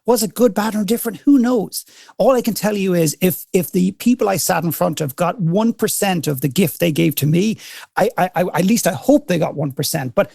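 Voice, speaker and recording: Gravelly, reflective man. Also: high-pitched, a little fast, dark tone, no echo, studio quality. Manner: thoughtful, hesitant restarts. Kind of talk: reflective talk in a group audio chat.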